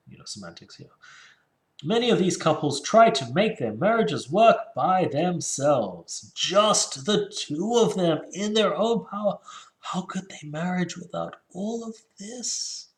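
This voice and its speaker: Male solo host, casual book critic, mid-pitched, sardonic and given to tangents. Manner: sardonic, rambling asides. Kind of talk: casual solo commentary with read-aloud passages.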